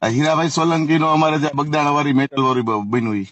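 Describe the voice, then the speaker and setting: A man with a Saurashtra Gujarati accent talks quickly in a high voice on a phone call. He is pushy and confrontational, pressing his point again and again, with a dry, close sound. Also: steady tone, fairly bright tone, no echo. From Gujarat, India. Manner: pushy, challenging, insistent.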